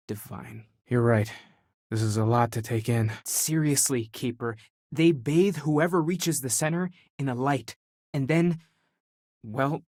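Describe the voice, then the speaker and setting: An actor playing an earnest, thoughtful young explorer of faith in a video game, speaking sincerely and a little hesitantly. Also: male voice, mid-range voice, a little mellow, no echo, clean studio sound, lightly acted. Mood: concerned, earnest. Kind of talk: scripted game dialogue.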